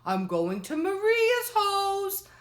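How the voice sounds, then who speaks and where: Woman reading as an earnest young child, raised pitch. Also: wildly swooping pitch, takes it slowly, exaggerated voice. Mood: defiant. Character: earnest, polite young child narrating storybook dialogue.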